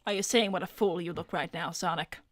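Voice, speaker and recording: A woman's voice: on the low side, brisk, fairly bright tone, no room sound. Woman playing haughty, manipulative cartoon temptress. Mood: scornful. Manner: pointed, disdainful, coaxing. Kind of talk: improvised in-character livestream Q&A.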